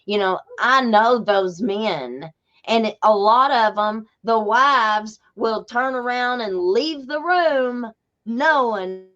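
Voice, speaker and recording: A woman hosting a podcast speaks with a Southern Appalachian accent at a slow, easy pace. Her delivery is folksy and conversational, and the recording sounds slightly dull and lo-fi. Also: fairly high pitch, fairly bright tone. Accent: Southern Appalachian American. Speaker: podcast host. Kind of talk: casual chat.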